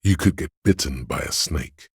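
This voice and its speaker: A man's voice: expressive, normal speed, no echo, studio quality, full character voice. A man voicing a video game's inner voice of pain, a grim, sardonic, goading presence narrating with brooding deliberation. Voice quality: deep, gravelly, husky. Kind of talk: dramatic second-person game narration.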